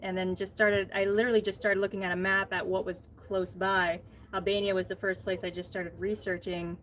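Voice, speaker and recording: An American woman co-hosting a casual livestream, talking in a relaxed, thoughtful way. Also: medium pitch, fairly quick, slight background noise. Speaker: co-host. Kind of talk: casual live chat.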